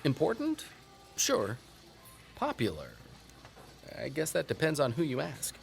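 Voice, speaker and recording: Folksy town historian for audio drama: wry, emphatic, lively pitch swings, some creak. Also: male voice, a little slow, faint music behind, heavily acted. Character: wry, folksy male small-town historian and coffee shop owner. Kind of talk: naturalistic audio drama interview.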